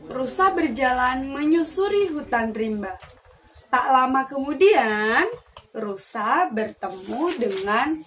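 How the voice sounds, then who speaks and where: A young woman telling a children's story in an animated, deliberate way. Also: high-pitched. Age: young adult. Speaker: student storyteller. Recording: distant mic with faint noise.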